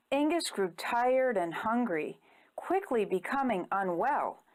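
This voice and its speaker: A woman reading a rhyming children's story aloud in an expressive, deliberate, theatrical way. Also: mid-range voice. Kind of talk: rhyming picture-book read-aloud.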